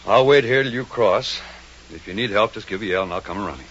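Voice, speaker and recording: A man's voice: on the low side, brisk, fairly bright tone, husky. An actor playing an easygoing, protective small-town policeman in a vintage radio drama, speaking in a folksy, clipped way. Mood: concerned, friendly. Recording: muffled old radio recording.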